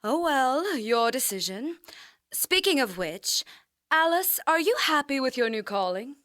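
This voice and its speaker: Reassuring grandmother, played by a woman: fairly high, smooth voice, polite, dry studio sound, audio drama. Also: very animated, unhurried, a bit bright, dry recording, full character voice. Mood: concerned. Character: gentle, concerned grandmother.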